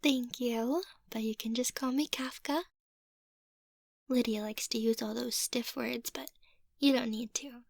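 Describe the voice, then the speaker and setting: Woman acting a high, sweet vampire mistress. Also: fairly even intonation, unhurried, vocal fry, no echo, clean studio sound. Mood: playful, coaxing. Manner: soft-spoken, teasing, often drops to a whisper. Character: playful, sweet young female vampire mistress. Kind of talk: ASMR roleplay.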